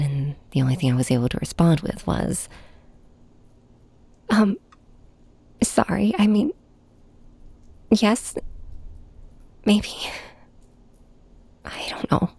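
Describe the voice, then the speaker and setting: Woman acting a breathy, wounded young superhero. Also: low voice, no echo, full character voice. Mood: upset, tearful. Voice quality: soft, breathy. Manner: hesitant, halting, hushed. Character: heartbroken young flying superhero confiding in and snapping at nemesis. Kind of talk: first-person audio drama narration, ASMR hybrid.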